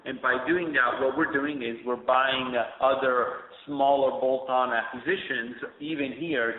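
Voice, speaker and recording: Man, executive, brisk fluent delivery, fillers, echoey phone-line audio. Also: mid-range voice. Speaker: company executive. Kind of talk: earnings call answer.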